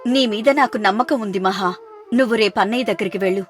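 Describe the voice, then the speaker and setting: A woman voicing a kind, reassuring young sister-in-law in an animated family story, with music behind. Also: medium pitch, fast, natural voice.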